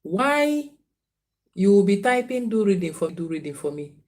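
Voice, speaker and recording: A woman's voice: medium pitch, big pitch swings, normal speed, darkish tone. A woman giving spiritual readings on a live stream, repeating names and phrases and earnestly scolding viewers. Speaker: spiritual reader.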